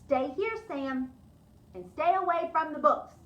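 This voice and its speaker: Storybook housekeeper, played by a female reader: sunny, literal-minded, exclamatory, high-voiced, in an echoey room. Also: unhurried, distant mic, dull audio. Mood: cheerful. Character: cheerful, naive, literal-minded woman housekeeper.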